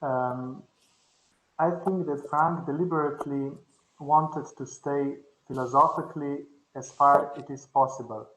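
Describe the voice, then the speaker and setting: A man whose first language is Slovenian speaks in a slightly high voice, with a hesitant academic manner full of restarts and pauses. The sound carries a roomy echo, as in a conference hall. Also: fairly even intonation, a bit bright, slight background noise. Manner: halting, hesitant, many restarts. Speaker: conference panelist. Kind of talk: academic discussion. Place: conference session.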